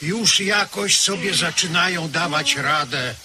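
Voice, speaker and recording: Man voicing village priest, homely, measured, slow, slightly high voice, hissy old recording. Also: natural voice. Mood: homely musing. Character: gentle older male village priest. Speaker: parish priest. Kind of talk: 1970s radio drama acting.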